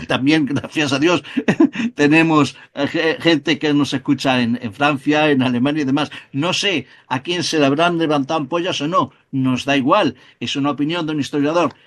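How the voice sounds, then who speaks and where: A man's voice: a little high, a bit bright. A man with a Spain Spanish accent hosting a podcast, friendly and chuckling as he thanks guests and listeners. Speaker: host. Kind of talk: casual podcast chat and sign-off.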